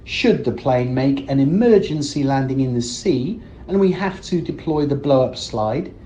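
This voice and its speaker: A woman playing a brisk, tongue-in-cheek flight attendant in an amateur comedy radio play. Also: a bass voice, lively intonation, mellow. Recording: slightly noisy conference-call recording.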